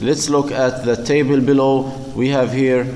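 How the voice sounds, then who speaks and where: A man delivers a university lecture at a slow, measured pace. He halts often, filling gaps with repeated words. The recording carries a long, echoing reverb. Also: mid-range voice, level tone, darkish tone. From Palestine. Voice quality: slow and halting, many fillers and repeated words.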